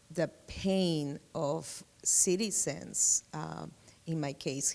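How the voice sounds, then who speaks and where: A woman from Peru, speaking slowly and emphatically in a group discussion, repeating her key words. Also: low-pitched, gravelly, darkish tone, big-room sound. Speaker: discussion participant.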